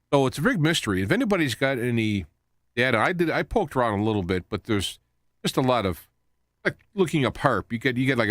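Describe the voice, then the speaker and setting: A man hosting a live talk show, rambling in a halting way as he wanders from point to point. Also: mid-range voice, dry recording, clean studio sound. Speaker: radio show host.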